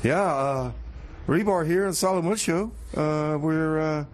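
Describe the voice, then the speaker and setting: A man's voice: a little high, a little slow, slight background noise. A man hosting a radio music show, chatting casually and bantering in comedy bits between songs.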